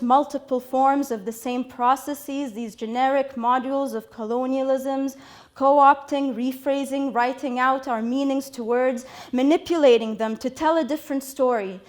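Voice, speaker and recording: A woman performs a spoken word poem in a high-pitched voice. Her delivery is impassioned and rhythmic. She leans hard on single words and lets the lines build.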